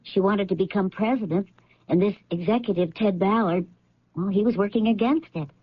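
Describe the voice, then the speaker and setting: A woman's voice: mid-range voice, a little fast, breathy edge, dry recording, close to an everyday voice. A woman playing a candid, rueful executive assistant answering questions in a radio drama. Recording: dull old radio recording.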